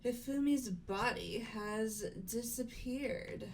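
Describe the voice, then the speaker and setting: Woman playing a slow, formal, uneasy young lady. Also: a little high, a little hiss or hum, poor audio. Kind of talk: amateur voiced playthrough of a mystery game.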